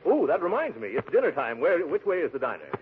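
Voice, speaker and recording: A man's voice: a little high, wildly swooping pitch, quick pace. An actor playing a pompous, jovial, gluttonous big man in a blustery, chuckling 1940s radio comedy style. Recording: noisy tinny 1940s radio broadcast.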